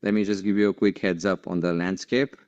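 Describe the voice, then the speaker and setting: A man giving a formal, measured webinar presentation as session moderator. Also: deep voice, steady tone, darkish tone, muffled recording.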